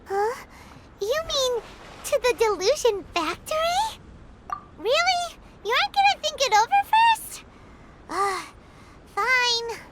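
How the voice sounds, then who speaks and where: A woman voicing a tiny, excitable, childlike fairy companion in a video game, squeaky and expressive. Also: takes it slowly, long pauses, noisy background, heavily acted. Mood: worried, reluctant. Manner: exclamatory, questioning, expressive. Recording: loud music behind the voice.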